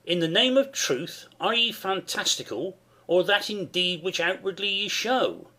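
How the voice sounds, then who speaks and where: A man's voice: sits high, expressive, measured pace, sharp tone, exaggerated voice. A man playing a noble, questioning soldier, declaiming in a dramatic Shakespeare reading. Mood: challenging.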